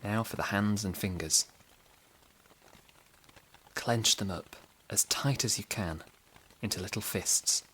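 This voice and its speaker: A man hosting a guided sleep meditation podcast, giving soothing, gentle, unhurried instructions. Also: lowish voice, a little hiss or hum, rough recording.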